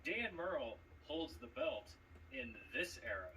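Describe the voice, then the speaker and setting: Cocky man with wide, theatrical pitch swings. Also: wildly swooping pitch, unhurried, gravelly, sharp tone. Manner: cocky, theatrical, pointed emphasis. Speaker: trivia champion competitor. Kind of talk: trash-talk promo speech. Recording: distant mic with background noise.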